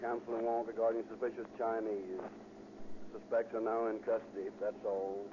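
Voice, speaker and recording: An actor playing a businesslike police radio dispatcher, giving a clipped official bulletin in 1930s radio style. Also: male voice, lowish voice, normal speed, heavy background noise, natural voice. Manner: flat, clipped official delivery. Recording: muffled radio bulletin over loud background.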